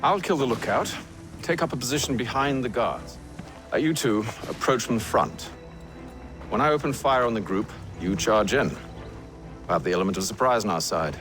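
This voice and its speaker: An actor playing a calm, commanding gentleman leader in a video game cutscene, measured and authoritative. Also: mid-range voice, natural voice. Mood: cool, commanding. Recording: game soundtrack with music behind.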